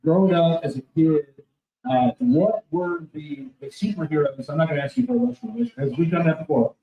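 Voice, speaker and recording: Chatty host in a dull, echoey hall. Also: male voice, a little high, sing-song, fairly quick, vocal fry, distant mic, slight background noise. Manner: chatty, winding questions. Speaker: podcast co-host. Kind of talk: casual interview. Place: convention hall.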